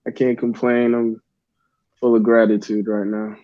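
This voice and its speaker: A man's voice: on the low side, flat delivery, measured pace, no echo. A young man from Uganda, a guest artist, talking in a laid-back, rambling way with many fillers. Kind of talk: casual interview chat. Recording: dull lo-fi dry sound.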